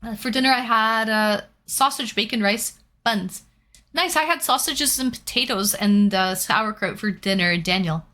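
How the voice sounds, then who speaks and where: A woman's voice: fairly high pitch, a little slow, bright, forceful tone. A young woman from Canada chatting casually with viewers while painting and reading out their comments. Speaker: streamer.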